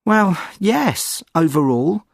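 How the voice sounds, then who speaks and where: A man giving a measured, opinionated sample answer in a scripted exam speaking recording. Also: high voice, big pitch swings, vocal fry, dry recording, clean studio sound.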